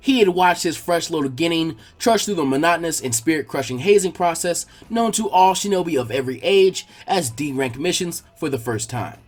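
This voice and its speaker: A man reading fan fiction aloud as an expressive story narrator. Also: on the high side, fairly quick, hardly any pauses, faint music behind.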